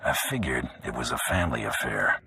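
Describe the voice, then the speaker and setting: An actor playing a terse, deadpan male bounty hunter in a video game. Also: extremely low pitch, a little fast, vocal fry, slightly rough, full character voice, rough recording.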